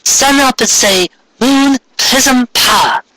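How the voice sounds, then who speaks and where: A man's voice: very high voice, slow, pushed and bright, slight background noise, pitched above the performer's own voice, heavily acted, poor audio. A man voicing a female guardian cat from a magical-girl anime parody, coaxing and declaiming. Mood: solemn, commanding. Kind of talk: mocking fan fiction read-aloud.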